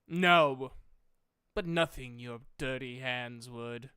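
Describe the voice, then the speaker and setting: A haughty rich male customer acted by a man at a game table. He sounds forceful and clean-voiced, full of pompous disdain for those serving him. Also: mid-range voice, takes it slowly, clear, resonant tone, dry recording, studio quality.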